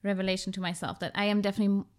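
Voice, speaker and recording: A woman's voice: mid-range voice, little pitch movement, very fast, a little mellow. A woman from Germany, a podcast guest, talking reflectively with many hesitations and restarts. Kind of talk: casual conversation.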